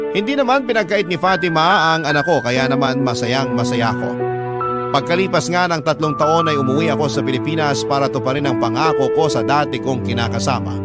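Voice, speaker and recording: A man's voice: on the high side, close to an everyday voice. An actor playing a regretful young father narrating his story in a radio drama, with a Filipino accent. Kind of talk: scripted first-person drama narration. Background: loud music.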